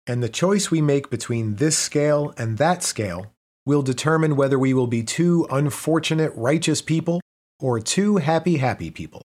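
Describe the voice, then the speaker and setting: A man reading a prepared text aloud as narration, pausing between the items of each list. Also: a little high, expressive, darkish tone. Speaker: reader. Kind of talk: scripted narration.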